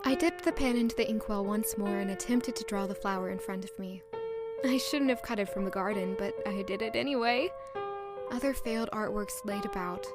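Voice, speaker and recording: A woman's voice: medium pitch, a little fast, loud music behind the voice, natural voice. A woman playing a flustered, self-critical young woman in a romantic period fantasy audio drama, with formal, self-deprecating wording. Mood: wistful, frustrated. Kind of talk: audio drama acting with first-person narration.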